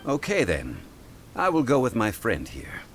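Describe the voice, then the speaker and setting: An actor playing a composed, erudite gentleman scholar in a video game, measured, formal and patient as he explains. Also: medium pitch, wildly swooping pitch, slight background noise. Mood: calm, explaining. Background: clear game music.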